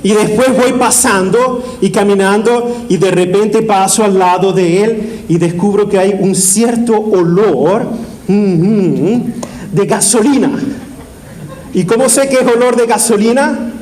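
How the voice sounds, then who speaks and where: A priest preaching a sermon, asking the audience questions and repeating key phrases for emphasis. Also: male voice, high-pitched, unhurried, a little mellow, rough recording.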